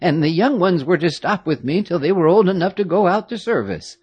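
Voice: fairly quick, slightly rough, no room sound, muffled recording. A narrator putting on a fussy old woman. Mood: fussing, warm. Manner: exclaiming, chattering, high and animated. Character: fussy, fiercely loyal old woman, a former nurse. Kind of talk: audiobook character reading.

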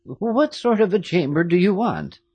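A narrator voicing a fussy, fiercely loyal old woman who once nursed the family, high-pitched and chattering in exclamations. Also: sing-song, moderate pace, breathy edge, no echo, highs cut off. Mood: fussing, warm. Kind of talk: audiobook character reading.

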